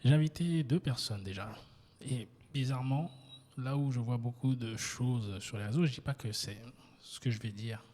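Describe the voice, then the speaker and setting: Husky-voiced man hosting an interview. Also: mid-range voice, normal speed, a little mellow. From Ivory Coast. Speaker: podcast host. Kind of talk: casual interview. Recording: long hall reverb.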